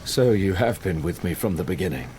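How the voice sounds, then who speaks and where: A man's voice: low voice, expressive, brisk, a little mellow, a little hiss or hum. A male actor playing a brooding, obsessive scientist in a gothic audio drama, formal and intense. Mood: horrified, reluctant.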